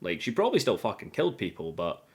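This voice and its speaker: A man hosting a scripted but casual film review, chatty, irreverent and quick to swear. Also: low voice, wildly swooping pitch, breathy edge. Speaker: video host reviewing a film.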